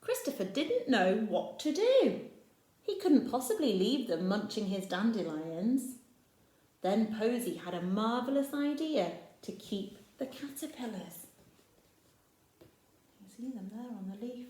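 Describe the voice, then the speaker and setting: Teacher reading to young children: unhurried, animated, a little high, in an echoey room. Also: female voice. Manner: expressive, slow, pointing out pictures and asking the listener questions. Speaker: teacher reading a picture book. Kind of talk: reading a picture book aloud to children.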